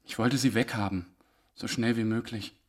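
A man's voice: on the low side, fairly even intonation, normal speed, close to an everyday voice. An actor playing a wounded, contrary young man in grief, speaking tersely in a radio drama. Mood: brooding.

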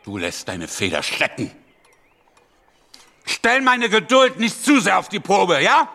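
An actor playing a blunt, domineering, ambitious revolutionary leader, curt and scornful, in a radio drama. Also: male voice, high voice, wildly swooping pitch, slow pace, sharp tone, exaggerated voice. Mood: irritated, commanding.

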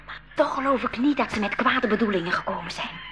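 Woman acting optimistic young jungle traveller, fairly high pitch, expressive, 1980s radio drama, very noisy. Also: fast, lightly acted. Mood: reassuring. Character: optimistic young female member of a jungle expedition. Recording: very noisy, crackly old radio recording.

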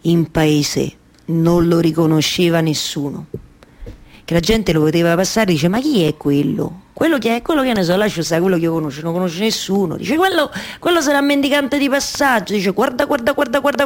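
An actress playing an anguished, obsessive waiting wife in a theatrical monologue, with a Roman accent and repetitive phrases that halt and then rush. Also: female voice, medium pitch, lively intonation, close to an everyday voice. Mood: agitated, mocking gossip. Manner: repetitive, halting then rushing, colloquial dialect storytelling. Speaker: stage actress. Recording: live music behind the voice.

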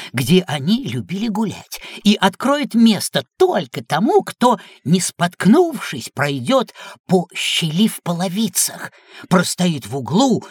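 A woman playing a fussy, theatrical, good-natured old-fashioned gentleman in a children's audio drama, with a hesitant way of talking. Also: on the low side, breathy edge, no echo, studio quality, heavily acted.

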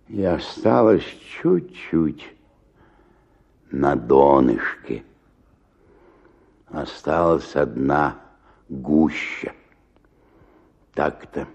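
An old, drunken theatre actor, weary and full of self-pity. He drags his words very slowly in a low voice, groaning and mocking himself. It is theatrical radio drama with a slightly dull sound. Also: warm tone, heavily acted. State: hungover.

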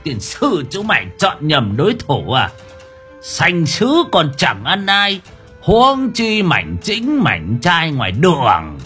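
A man's voice: sits low, big pitch swings, normal speed, fairly bright tone, faint music behind, voice pushed down. A man voicing a brash exorcist master who scolds, gloats and barks. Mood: mocking, gloating.

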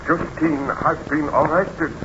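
A man's voice: fairly high pitch, steady tone, slow pace. A man playing a tormented aristocratic baron in a 1930s radio serial, with formal, grave melodrama. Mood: grave concern. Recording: dull, noisy vintage radio recording.

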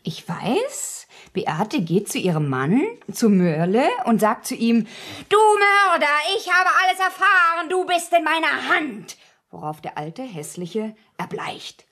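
A sharp-tongued schemer in a German radio play. Her fairly high, husky voice leaps about expressively, pert and mocking, as if relishing every wicked line. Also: sing-song, slow pace, exaggerated voice. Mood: gleefully vindictive. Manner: pert, mocking, acts out imagined lines. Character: sharp-tongued, scheming woman, quick to interject.

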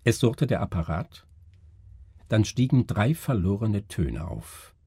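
A man reading a gothic story as scripted narration, with a husky voice and a grave, theatrical manner. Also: on the low side, very animated, slow, mellow, dry recording, studio quality. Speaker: reader of a literary story.

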